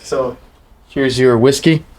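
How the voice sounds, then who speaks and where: A man hosts a podcast in a casual chat style. His voice is medium in pitch, and his talk is slangy and informal, with a joking manner. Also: fairly even intonation, takes it slowly, a little mellow. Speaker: podcast host.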